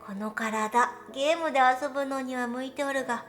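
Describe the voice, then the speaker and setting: An ancient cat goddess, acted out by a woman, with a high, girlish pitch and a haughty, old-fashioned way of speaking. She delivers a dramatic storytelling monologue, grumbling yet fond, with music playing softly behind her. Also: normal speed. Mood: grumbling, leisurely. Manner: haughty, archaic old-fashioned lordly speech. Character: proud thousand-year-old female cat god, grumbling but fond. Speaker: virtual streamer in character.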